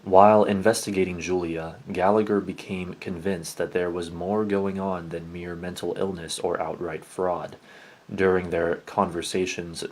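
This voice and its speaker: Very low, husky male narrator. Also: a little mellow, poor audio. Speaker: narrator of paranormal stories. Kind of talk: scripted narration of allegedly real horror.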